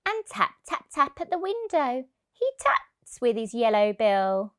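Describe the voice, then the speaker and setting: A woman's voice: fairly high pitch, very animated, unhurried, fairly bright tone. A woman reading a rhyming picture book aloud expressively for young children.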